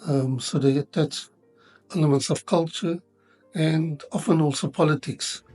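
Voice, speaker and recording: Husky man, hesitant. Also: a little high, fairly even intonation, unhurried, a little mellow. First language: Afrikaans. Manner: hesitant, restarts words, many ums. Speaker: interviewee. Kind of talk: reflective interview answer. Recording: faint music underneath.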